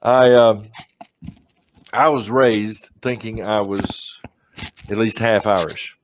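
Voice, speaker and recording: A man's voice: on the low side, fairly even intonation, takes it slowly, a little hiss or hum. A middle-aged man hosting a podcast, chatting casually and laughing often as he tells stories. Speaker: podcast host. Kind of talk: casual chat.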